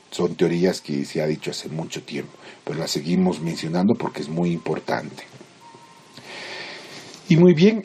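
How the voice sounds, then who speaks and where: A man lecturing in Spanish as a teacher, explaining theory informally in halting, didactic phrases with check-in questions. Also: sits low, mellow, husky. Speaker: psychology lecturer.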